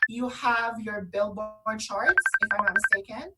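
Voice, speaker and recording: A woman with a Filipino accent co-hosting an online radio show, chatting casually and promotionally in an excitable, gushing way. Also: fairly high pitch, measured pace, recorded from a distance, very noisy. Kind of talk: casual promotional chat between co-hosts.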